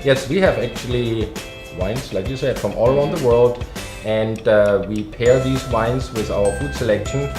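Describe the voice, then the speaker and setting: A man speaks with measured pacing and the occasional filler. His voice rings in an echoey room. Music sits behind him. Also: medium pitch. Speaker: executive chef. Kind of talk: TV interview.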